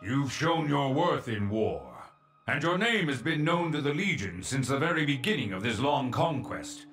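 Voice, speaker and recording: An actor playing a stern, proud military commander in a fantasy game, speaking formally and with command. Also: male voice, medium pitch, animated, normal speed, fairly bright tone, faint music behind. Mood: grave, resentful. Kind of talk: dramatic fantasy game voice acting.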